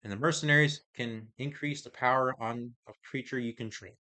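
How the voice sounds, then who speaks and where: Bright-voiced man, going hoarse. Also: mid-range voice. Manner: halting, hesitant, many pauses and restarts. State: voice getting hoarse. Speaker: card game reviewer. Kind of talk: casual card review, reading card text aloud.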